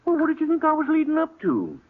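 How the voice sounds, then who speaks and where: An actor playing a garrulous, easily huffy small-town cafe owner in an old-time American radio western, with a husky, folksy, rambling delivery. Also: male voice, very high-pitched, wildly swooping pitch, fast talker, heavily acted. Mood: excited. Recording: dull, lo-fi old radio recording.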